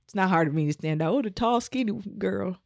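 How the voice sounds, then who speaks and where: A woman from Omaha with a naturally raspy voice, talking casually as a guest in a podcast interview with a laid-back flow. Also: medium pitch, expressive, quick pace, a little mellow, dry recording, studio quality. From Omaha, United States. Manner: laid-back, frequent "you know" and laughs. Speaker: guest musician.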